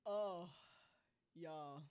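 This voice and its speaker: A woman hosting a casual live stream, exclamatory and quick to laugh. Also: on the low side, clear, resonant tone, highs cut off. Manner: expressive, exclamatory, laughs easily.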